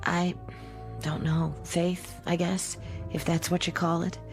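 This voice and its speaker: Gentle, creaky-voiced hopeful survivor. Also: female voice, on the low side, flat delivery, slow, soft background music. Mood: tender hesitancy. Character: gentle, faithful, quietly hopeful woman survivor. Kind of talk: dramatic radio drama acting.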